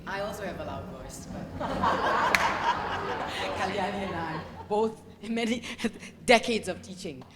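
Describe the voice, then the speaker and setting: A woman's voice: medium pitch, measured pace, very noisy. An older woman teacher making a chatty, rambling comment from the audience with frequent asides. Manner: chatty, laughing, wandering asides. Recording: reverberant seminar hall.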